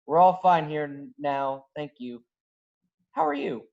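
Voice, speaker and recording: A man's voice: a little high, big pitch swings, unhurried, gravelly, a little mellow, full character voice. A man acting a cocky, skeptical smuggler in a table read, over a virtual call. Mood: flustered bluffing. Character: cocky, skeptical male smuggler, gruff but improvising nervously. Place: virtual call from separate location. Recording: dull lo-fi video-call audio.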